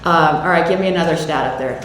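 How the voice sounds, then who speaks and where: Woman executive, low voice, conversational press conference answers, heavy room echo. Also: fast talker, fairly bright tone, recorded from a distance. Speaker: university athletic director answering questions. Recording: very echoey room with faint noise.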